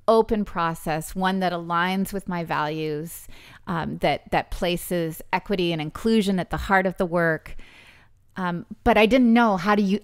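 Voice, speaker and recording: A woman from British Columbia, speaking as a podcast guest in an earnest, thinking-aloud way with frequent restarts. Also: mid-range voice. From British Columbia, Canada. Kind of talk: conversational interview.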